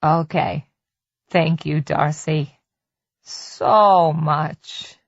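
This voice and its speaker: A woman's voice: deep voice, steady tone, very slow, creaky, dry recording, lo-fi sound, voice pushed down, full character voice. A woman voicing a meek, boyish middle-aged husband in an audiobook, earnest and placating. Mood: grateful, meek.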